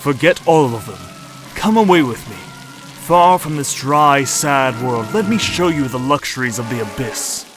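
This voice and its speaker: A man's voice: medium pitch, lively intonation, measured pace, soft background music, lightly acted. A man playing a brooding, lovesick undersea ruler, speaking in florid, formal romantic declarations in a superhero drama. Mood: insistent. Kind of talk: scripted superhero audio drama.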